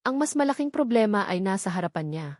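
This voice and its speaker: A woman's voice: mid-range voice, animated, fast, darkish tone, dry recording, studio quality. A woman reading a dramatic scripted story as an audiobook narrator.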